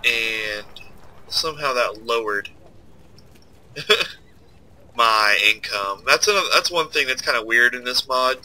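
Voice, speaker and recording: A man's voice: mid-range voice, measured pace, pauses often, sharp tone, rough recording. A young man with a stuffed-up, nasal voice, casually commenting while he plays a strategy game and thinks aloud. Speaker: let's play host.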